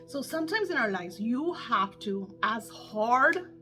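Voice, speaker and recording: A woman's voice: fairly high pitch, sing-song, measured pace, sharp tone, faint music behind. A middle-aged woman coaching her viewers in casual, candid talk, emphatic and swearing freely, her voice a little worn as if she is losing it. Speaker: manifestation coach.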